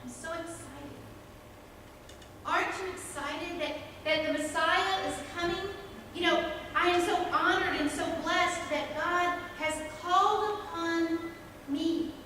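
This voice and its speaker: A woman playing Elizabeth, a devout and earnest expectant mother, in a deliberate, emphatic first-person church monologue. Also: very high-pitched, steady tone, pushed and bright, heavily acted. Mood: earnest, urging. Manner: deliberate, emphatic, with long pauses. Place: church sanctuary. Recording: echoey church hall, distant mic, background noise.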